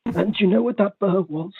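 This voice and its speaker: High, gravelly man on a tinny phone line. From Spain. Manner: halting, repetitive, stammering. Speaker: caller. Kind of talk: rambling comedic call-in.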